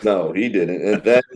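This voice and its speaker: A middle-aged man with a deep voice, a comedian telling animated stories in a podcast interview. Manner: animated storyteller who acts out quoted people. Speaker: guest comedian.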